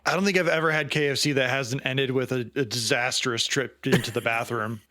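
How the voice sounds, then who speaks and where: A man's voice: medium pitch, fairly even intonation, fairly quick, sharp tone, dry recording, studio quality. A man in his late thirties with an American Midwestern accent, co-hosting a podcast in casual chat with sardonic jokes. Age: late 30s. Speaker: podcast co-host.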